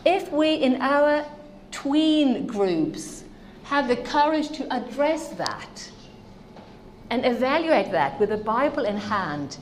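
Slow, earnest Norwegian woman speaker. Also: a little high, reverberant, a little hiss or hum. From Norway. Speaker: speaker on children's ministry. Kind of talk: conference talk.

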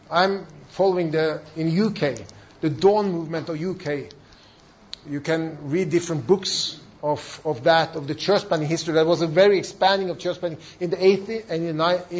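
A man with a Norwegian accent giving a seminar talk as a church planting trainer, in halting non-native English with emphatic repetition. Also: a little high. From Norway. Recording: dull, lo-fi sound.

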